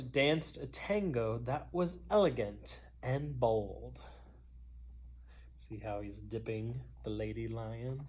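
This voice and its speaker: A woman reading a children's story aloud expressively, pausing between phrases and adding chatty asides. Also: rumbling low. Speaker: storybook reader.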